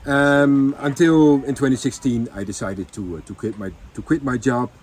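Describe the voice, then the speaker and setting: A man's voice: medium pitch, fairly even intonation, moderate pace, darkish tone, slightly rough. A man telling his family's story in a casual chat, with many ums and restarts. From Netherlands. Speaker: vlogger.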